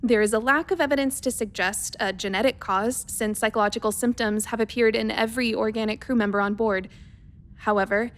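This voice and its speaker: A woman's voice: fairly high pitch, steady tone, brisk, lightly acted. A woman playing a methodical, worried spaceship doctor dictating a research log in a radio play, clinical and precise but hesitant. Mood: clinical, measured.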